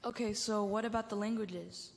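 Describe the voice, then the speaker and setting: Casting: curious, no-nonsense girl, female performer, medium pitch, questioning and a bit bossy, church skit with long hall reverb. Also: steady tone, normal speed, gravelly, natural voice. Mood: curious, firm. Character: curious, no-nonsense girl trying to follow the story. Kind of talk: youth church reader's theater.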